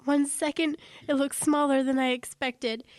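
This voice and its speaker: Woman acting high-voiced, anxious dramatic woman. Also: level tone, normal speed, a little mellow, dry recording, clean studio sound, natural voice. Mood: uneasy, reflective. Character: anxious young Florida woman, chatty, wryly dramatic. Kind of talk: radio drama acting with narrated inner monologue.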